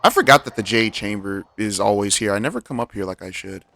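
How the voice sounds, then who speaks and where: A man's voice: fairly low pitch, lively intonation, fast, gravelly, sharp tone. A man streaming a video game, making offhand, casual commentary and muttering to himself. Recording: game music behind.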